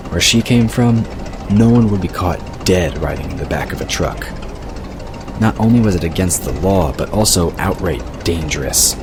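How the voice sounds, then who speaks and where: A man reading scripted audiobook narration of a story. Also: low-pitched. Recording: clear noise behind the voice. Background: ambient sound effects.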